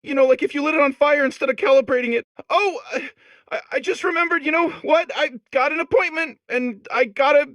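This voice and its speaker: A man's voice: very high voice, brisk, fairly bright tone, no echo, low-quality recording. A man voicing an anxious, timid robot core in a comedic video game, stammering and rambling with worry. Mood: worried, rambling.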